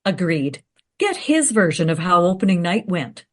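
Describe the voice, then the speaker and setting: An actress playing a cautious, sensible time traveller in conversational radio play dialogue. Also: female voice, on the low side, very animated, moderate pace. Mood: reasoning, critical.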